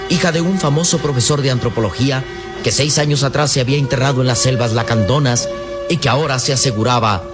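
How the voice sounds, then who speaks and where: A man's voice: medium pitch, fast talker. A man with a Mexican accent giving dramatic scripted narration as narrator of a radio adventure serial. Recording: loud music and effects behind.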